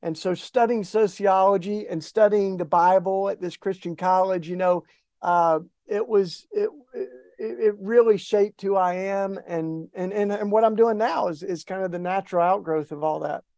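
A middle-aged man with an East Tennessee Southern accent, talking casually as an interview guest, folksy and relaxed, with many restarts and fillers. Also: high voice, normal speed, dry recording, clean studio sound.

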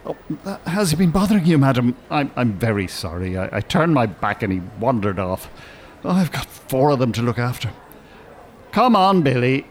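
An actor playing a bitter, pedantic older Irish retired English teacher in an audio drama, dry and well-spoken. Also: male voice, mid-range voice, sing-song, moderate pace, a little hiss or hum, close to an everyday voice. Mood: flustered, pretending.

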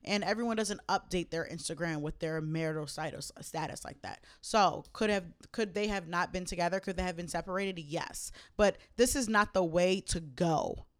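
A young woman co-hosting a podcast, chatting casually, cursing freely and jumping up high when outraged. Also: moderate pace, hardly any pauses. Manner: animated, swears often, spikes high when outraged. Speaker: podcast co-host. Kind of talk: casual chat.

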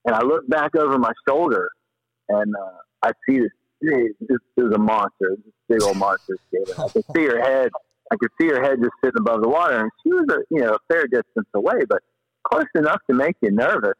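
A man telling stories casually as a podcast guest, in an animated, slangy, rambling way. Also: medium pitch, brisk, gravelly, no room sound, highs cut off. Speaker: podcast guest, paddler and former river guide. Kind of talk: casual storytelling in an interview.

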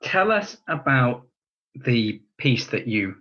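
A male interviewer talks casually, reworking his questions as he goes. His voice is middling in pitch, bright and a little rough. The audio is dull and lo-fi, like an online call. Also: very animated, slow pace. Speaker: interviewer, host.

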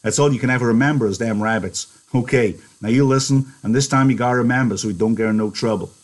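A man voicing a small, angry ranch hand from a novel, scolding in rough rural slang. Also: medium pitch, fast talker, natural voice. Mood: exasperated scolding. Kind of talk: dramatised novel reading.

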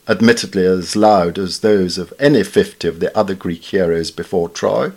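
A man giving a measured, formal scripted reading of witty literary essays. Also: fairly low pitch. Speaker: reader of newspaper essays.

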